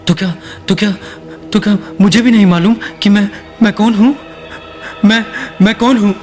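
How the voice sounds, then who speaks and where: A man's voice: high-pitched, fairly even intonation, normal speed, darkish tone, faint music behind, natural voice. An actor playing a ragged, tormented man who has lost his identity, stammering and repeating himself in theatrical radio drama style. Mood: confused.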